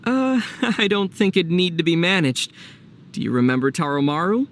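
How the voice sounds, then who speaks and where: Lively young adventurer hero. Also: male voice, high-pitched, moderate pace, background noise. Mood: amused. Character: calm, teasing young male adventurer hero. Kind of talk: video game voice acting.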